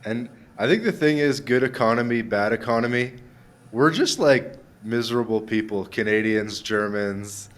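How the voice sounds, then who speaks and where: A young man hosting a podcast, talking in an easygoing, friendly way with relaxed filler words. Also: mid-range voice, normal speed. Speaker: podcast host. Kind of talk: casual interview chat.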